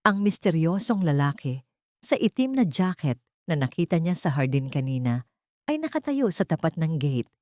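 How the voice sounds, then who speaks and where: A woman's voice: low-pitched, sing-song, normal speed, warm tone, dry recording, clean studio sound. A woman reading a scripted drama as narrator, in a storytelling style.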